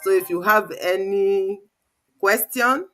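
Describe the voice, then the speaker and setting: Casting: female live-show host, bright forceful voice, mid pitch, chatty and informal. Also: takes it slowly, soft background music. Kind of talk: informal live webinar hosting.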